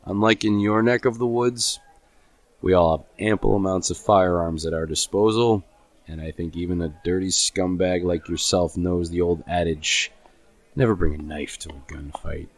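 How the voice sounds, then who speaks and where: A man's voice: low-pitched, fairly even intonation, normal speed. A man playing a smug, menacing small-town bully in a horror radio drama, delivering threats with mock courtesy. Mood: smug menace.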